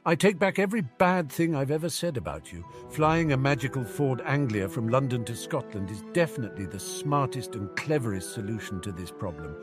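Sardonic wizard schoolboy, read by a man, slightly lifted pitch, teasing, deliberate, storybook style. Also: lively intonation, over music, natural voice. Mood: smug sarcasm. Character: sardonic, clever schoolboy wizard with sly calm.

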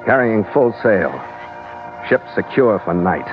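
An American man acting an easygoing sea captain who narrates his adventures in measured, vivid, dryly understated old-time radio style. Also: lowish voice, lively intonation. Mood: measured, formal. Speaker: lead actor and narrator. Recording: muffled vintage radio recording. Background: loud dramatic music.

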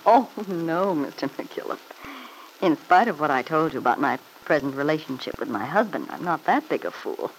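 An actress playing a sly, spiteful middle-aged mother-in-law in an old radio serial, insinuating and quick to justify herself. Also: low voice, big pitch swings. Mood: defensive, spiteful. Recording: noisy old radio broadcast recording.

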